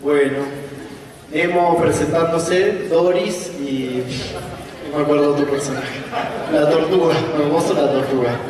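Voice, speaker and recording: A man moderating a conference panel in a relaxed, playful way. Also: fairly high pitch, measured pace, recorded from a distance, crowd noise. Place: large conference hall. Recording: echoey hall, voice from afar.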